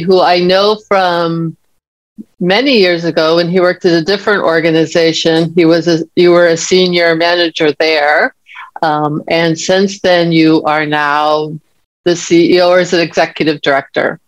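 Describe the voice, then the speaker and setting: A woman's voice: on the low side, little pitch movement, normal speed, no room sound, poor audio. Hesitant woman hosting an interview. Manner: warm-up talk with fillers, hesitant. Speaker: podcast host. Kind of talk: conversational interview.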